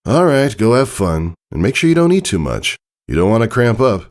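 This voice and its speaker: Man playing an easygoing, gently nagging pony dad. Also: lowish voice, very animated, normal speed, pitched above the performer's own voice. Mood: fatherly instructing. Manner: casual, fatherly, gently nagging. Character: easygoing, fussing pony father.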